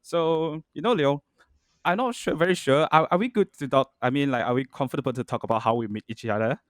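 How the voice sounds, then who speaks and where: A man's voice: mid-range voice, a little fast, no echo, studio quality. A man hosting a podcast, chatting in a friendly, hesitant way with frequent restarts and fillers. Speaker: podcast host. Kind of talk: casual podcast conversation.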